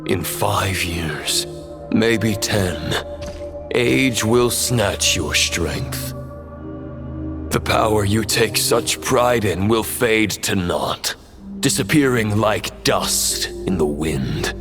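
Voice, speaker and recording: A man's voice: fairly low pitch, animated, very slow, full character voice. An actor playing a proud, grave fallen knight in a video game, solemn, theatrical and condescending as he addresses his foe. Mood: scornful, ominous. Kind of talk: dramatic video game voice acting. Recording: music behind the voice. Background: dramatic game music.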